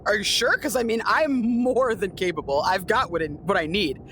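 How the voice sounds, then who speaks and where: A woman's voice: medium pitch, lively intonation, moderate pace, fairly bright tone, slight background noise. A woman playing a tabletop game, improvising in character and chatting casually. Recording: music behind the voice. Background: music.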